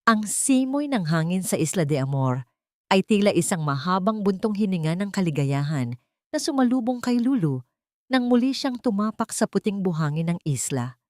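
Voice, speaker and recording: A woman giving dramatic scripted narration of a sentimental story, with solemn, deliberate phrasing. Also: medium pitch, sing-song, no echo, clean studio sound. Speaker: audiobook narrator.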